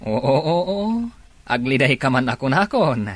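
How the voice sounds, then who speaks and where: A man's voice: mid-range voice, expressive, a little slow, no room sound. An actor playing a reassuring male buddy in a radio drama, with a Filipino Ilocano accent. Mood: upbeat.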